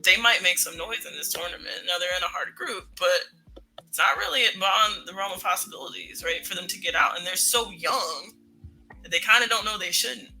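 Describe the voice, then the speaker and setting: A woman co-hosting a podcast, chatting in an animated way with laughter and frequent "right?" tags. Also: medium pitch, brisk, vocal fry, faint music behind, poor audio. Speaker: podcast co-host. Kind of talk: casual podcast chat.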